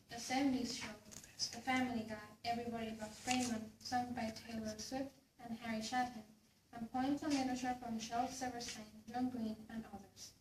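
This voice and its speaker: A young woman reading scripted lines aloud as a student in a readers theater group. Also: a little high. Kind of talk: scripted recitation for a speech class. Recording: echoey room, distant mic, clear noise.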